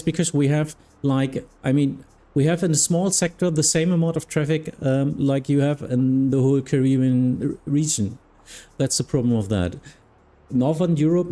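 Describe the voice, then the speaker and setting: Mellow-voiced German man, hesitant chat. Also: mid-range voice. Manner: hesitant, searching for words, frequent restarts. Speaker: flight sim streamer and air traffic control mentor. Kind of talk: casual live-stream chat with viewers.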